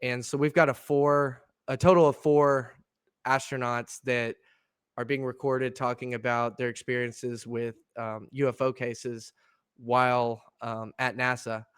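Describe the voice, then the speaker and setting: A young adult man co-hosting a casual podcast, congested from allergies so his voice sounds slightly gravelly and nasal, easygoing with lots of fillers and laughs. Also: mid-range voice, little pitch movement, unhurried, dry recording, clean studio sound. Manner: easygoing, laughs often, many fillers and restarts. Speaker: podcast co-host. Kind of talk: casual chat.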